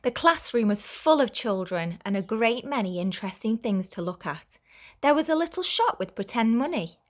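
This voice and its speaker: A woman reading a children's story aloud as a teacher would, in a clear, expressive way for young listeners. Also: fairly high pitch, normal speed.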